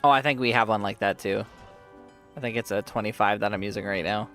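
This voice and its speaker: A man's voice: fairly low pitch, brisk, a bit bright, faint music behind. A man streaming a game live, chatting casually with viewers while his sore throat leaves his voice fading. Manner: chatty, reads and answers viewers.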